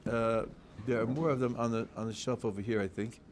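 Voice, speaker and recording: New rabbi reading verses out then explaining them, slight hiss behind. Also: male voice, medium pitch, fairly even intonation, a little fast. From New England. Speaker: rabbi teaching a student. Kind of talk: one-on-one text study.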